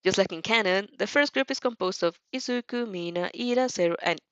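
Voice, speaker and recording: A woman reading fan fiction aloud as an expressive narrator who also does character voices. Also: medium pitch, fairly quick, no room sound, muffled recording. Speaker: fan fiction audio reader.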